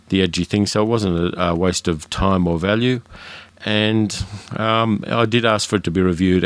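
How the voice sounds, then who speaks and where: A man's voice: low-pitched, no room sound. A man with an educated Australian accent, hosting his own film podcast in a frank, chatty way with fillers and restarts. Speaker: podcast host. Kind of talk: casual solo podcast monologue.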